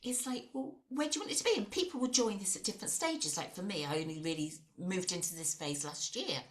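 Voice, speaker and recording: A woman in her late sixties, a researcher and author, talking casually in a podcast conversation, chatty and animated, often restarting her phrases. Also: on the low side, fast. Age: 60s. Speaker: guest, ageing researcher and author.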